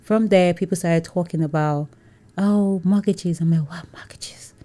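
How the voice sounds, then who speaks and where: A woman's voice: lowish voice, measured pace, mellow. A woman with a Nigerian accent, a guest in an interview, telling her story with frequent laughter and acted-out voices. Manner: laughs often, acts out quoted voices. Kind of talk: animated storytelling in conversation.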